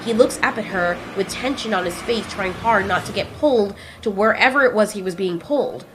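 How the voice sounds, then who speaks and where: A woman narrating a homemade audio drama in a casual, expressive way. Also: medium pitch, brisk, slight background noise, rough recording. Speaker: narrator and creator.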